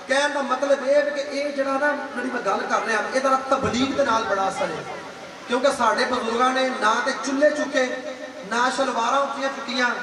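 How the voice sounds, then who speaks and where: A man preaching to a gathering, telling a religious story dramatically, acting out dialogue and repeating phrases for emphasis. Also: very high-pitched. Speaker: Islamic preacher. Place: large gathering with audience. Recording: echoey reverberant hall, distant mic, crowd.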